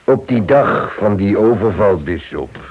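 A commanding police inspector in an old radio play. He is curt, dry and sceptical, with a low voice and a muffled recorded sound. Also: expressive, a little slow, slight background noise. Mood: probing, sceptical. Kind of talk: radio drama acting.

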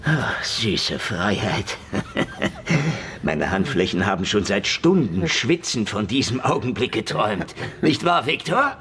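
A man's voice: lowish voice, sing-song, slow, a little hiss or hum, exaggerated voice. An actor in an audio drama playing a sardonic, mockingly polite male interrogator who taunts and threatens. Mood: gloating, sneering. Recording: loud music under the voice.

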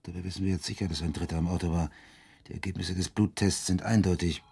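An actor playing a rural police detective in a German radio drama, by turns dryly flirtatious and businesslike. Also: male voice, low voice, fairly even intonation, fast, creaky, dark tone, breathy edge, heavily acted. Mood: businesslike, pondering. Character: flirtatious rural police detective, brusque boss, narrator of the tale.

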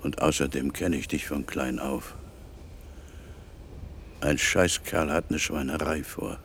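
An actor playing an earnest, weary old man in a radio crime play, reading his letter aloud slowly and deliberately. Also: very deep voice, creaky, slight background noise, exaggerated voice. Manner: slow, deliberate. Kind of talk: letter read in voice-over, radio drama.

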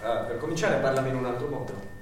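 An actor playing a stubborn worker who answers with quiet threats. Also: male voice, medium pitch, expressive, fast, natural voice. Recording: echoey stage, distant mic, faint hiss.

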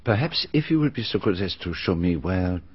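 Courteous, probing continental sleuth, low, husky, gently insistent; radio play. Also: male voice, lively intonation, a little fast. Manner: polite, precise, gently insistent questioning. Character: fastidious middle-aged male Belgian detective, courteous and probing. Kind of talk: BBC radio drama acting. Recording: dull archival radio recording.

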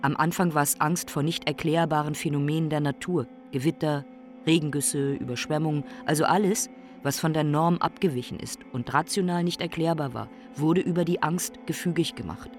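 An actor playing a brooding, philosophical ailing man in a radio play monologue, speaking in deliberate, emphatic short phrases. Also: medium pitch, steady tone, soft background music, lightly acted. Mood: brooding, reflective.

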